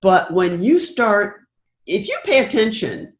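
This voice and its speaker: Expressive American woman in an echoey room. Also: medium pitch, measured pace. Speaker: interview guest, broadcast producer. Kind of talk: conversational anecdotes in an interview. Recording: roomy, echoing sound.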